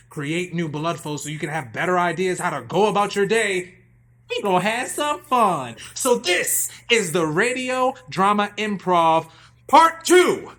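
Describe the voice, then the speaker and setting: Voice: mid-range voice, a bit bright. A live radio show host with a theatrical, folksy way of talking, upbeat and addressing the audience.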